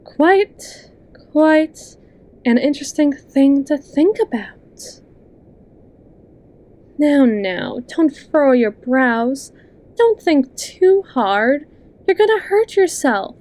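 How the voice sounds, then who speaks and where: A woman plays a sly, possessive best friend in an ASMR roleplay. Her voice is fairly high and soft, and she takes her time over every phrase. She coaxes and talks down to the listener like a hypnotist easing someone under her control. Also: very animated, full character voice. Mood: soothing, controlling. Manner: slow, coaxing, condescending, with lingering pauses.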